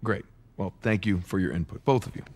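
A man's voice: sits low, brisk, darkish tone, slight background noise, natural voice. An actor playing an eager, stubborn treasure hunter in his thirties in a video game, an American man talking casually and persuasively. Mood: tense. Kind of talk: cinematic video game acting.

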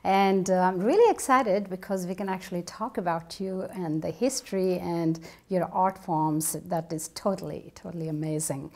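Middle-aged lady host, eager, lots of quick interjections, lapel mic in a living room. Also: on the low side, warm tone.

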